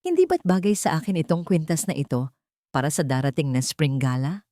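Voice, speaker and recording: A woman's voice: deep voice, expressive, a little fast, warm tone, dry recording, clean studio sound. A woman voicing a vain, pampered and demanding glamorous girlfriend in an audio drama. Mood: coaxing.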